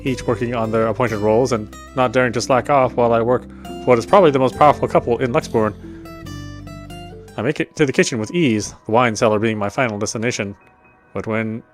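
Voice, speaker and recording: A man with a mid-pitched voice reads a visual novel's narration aloud. He now and then trips over a phrase and repeats it, with quiet music behind him. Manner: stumbles and rereads phrases. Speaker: let's player reading game text aloud.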